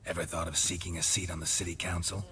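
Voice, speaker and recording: An actor playing a stoic, battle-worn monster hunter, terse and dryly witty, in a fantasy video game. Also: male voice, low voice, fast talker, a little hiss or hum. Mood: dry, guarded. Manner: terse, deadpan. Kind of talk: dramatic video game dialogue.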